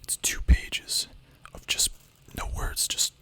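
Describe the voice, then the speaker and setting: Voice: slow pace, sharp tone. A young adult streamer whispering ASMR-style, chatting casually with chat, full of filler words and the odd swear.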